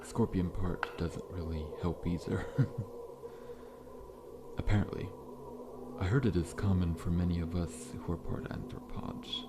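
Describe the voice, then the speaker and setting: Man voicing a deep, slow-talking scorpion-man dentist. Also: steady tone, very noisy. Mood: soothing, friendly. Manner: gentle, reassuring, softly chuckling, formal-polite. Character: massive friendly scorpion-man dentist, calm, faintly menacing. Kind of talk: ASMR roleplay. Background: background music.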